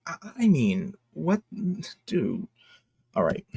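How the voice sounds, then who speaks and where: An American man giving casual, unscripted online commentary in a halting, repetitive, slangy way. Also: medium pitch, wildly swooping pitch, gravelly, a little mellow, breathy edge. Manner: halting and repetitive, slangy, addressing viewers as y'all. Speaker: online video commentator and host. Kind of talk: casual unscripted commentary, reading posts aloud.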